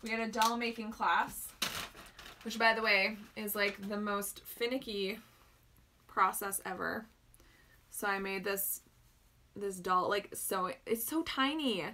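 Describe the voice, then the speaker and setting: A woman's voice: medium pitch, sharp tone. A young woman from northern Alberta with an Albertan Canadian accent, chatting casually and rambling as she answers viewer questions on a livestream. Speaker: maker hosting a livestream.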